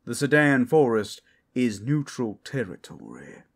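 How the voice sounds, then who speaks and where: Male actor as werewolf guide, gravelly fry, lively intonation, folksy, teasing, flirtatious, protective, ASMR roleplay. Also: mid-range voice, unhurried. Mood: firm, cool. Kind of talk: ASMR roleplay audio drama.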